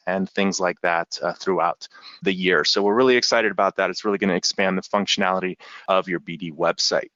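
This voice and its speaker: Male webinar host, low voice, loose delivery with many "uh"s, dry lo-fi sound. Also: no echo. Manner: relaxed, with frequent "uh" fillers. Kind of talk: software training webinar.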